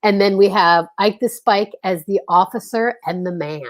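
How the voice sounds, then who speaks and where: An excitable woman from Philadelphia cohosts a casual online chat over a video call. She gushes and talks at an even middle pitch. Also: moderate pace. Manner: excitable, gushing. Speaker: host.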